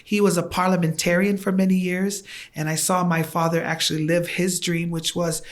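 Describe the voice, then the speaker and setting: A woman's voice: on the low side, little pitch movement, normal speed. A middle-aged woman from Tonga whose first language is Tongan, a podcast guest earnestly and reflectively recounting her upbringing. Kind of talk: podcast interview, recounting childhood.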